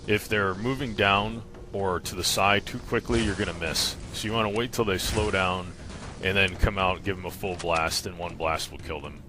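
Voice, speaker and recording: A man's voice: deep voice, fairly even intonation, normal speed. A man narrating a video game walkthrough, explaining casually and matter-of-factly, step by step. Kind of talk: casual instructional game commentary. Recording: game music behind the voice. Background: game music.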